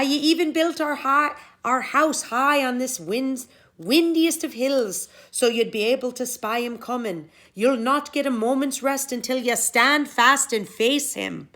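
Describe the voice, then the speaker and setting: Woman acting a high-pitched, sweetly coaxing wife. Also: a bit bright. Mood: gently chiding. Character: gentle, sly, reassuring Irish giant's wife.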